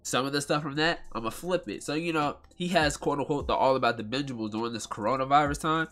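Bright nasal man talking loosely. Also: mid-range voice, quick pace, faint music behind. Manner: loose, rambling, slangy and chatty. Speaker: podcast host. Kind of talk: casual solo podcast talk. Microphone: podcast mic at home.

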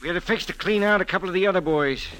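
A man's voice: high-pitched, rapid-fire, fairly bright tone, clean tone, rough recording. An actor playing a slangy, distracted and evasive small-time gambling henchman in 1940s radio style. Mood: chatty, distracted.

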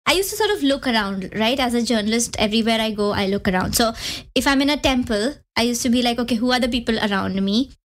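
A woman's voice: fairly high pitch, fast. A woman in her thirties with an Indian accent, a podcast guest chatting casually, full of "like" and "you know" as she restarts her thoughts. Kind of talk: casual interview chat.

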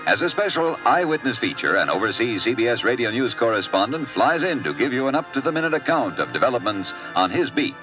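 A man's voice: mid-range voice, sing-song, fast talker, few pauses. An American man reading a scripted 1950s network radio promo in a polished announcer's manner. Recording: muffled vintage radio with music. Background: music.

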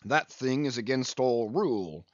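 A man voicing a solemn English ship's surgeon in an audiobook, deadpan, measured and drily pompous. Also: medium pitch, no echo, lo-fi sound, close to an everyday voice. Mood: coolly deadpan.

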